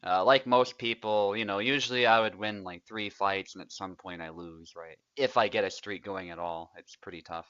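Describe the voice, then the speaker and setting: A man's voice: low voice, normal speed, few pauses, clean tone, no room sound, muffled recording. A young man, casually commentating a tournament he is also playing in, chatty and laughing often. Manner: relaxed, chatty, laughs often, trails off quietly.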